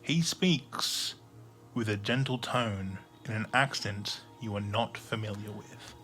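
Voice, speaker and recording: A man with a fairly low voice narrates an audio drama. The delivery is slow and measured, like scripted storytelling, with soft music underneath.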